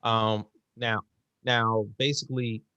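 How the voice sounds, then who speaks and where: A man hosting a casual interview, speaking in a halting way and repeating words as he frames his thoughts. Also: on the low side, level tone, pushed and bright, smooth, dry recording, highs cut off. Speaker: interview host.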